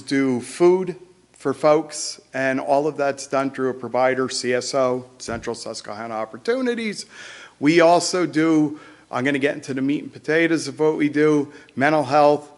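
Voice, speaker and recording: A man in his fifties, a county behavioral health administrator, gives a loud, blunt and brisk report at a public meeting, standing back from the microphone. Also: mid-range voice. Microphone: speaking away from the microphone.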